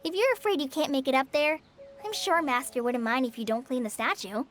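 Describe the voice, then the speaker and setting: A woman voicing a shy, earnest teenage apprentice ranger in an anime-style game, youthful and soft, speaking politely with some hesitancy. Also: high-pitched, brisk. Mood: helpful. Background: music.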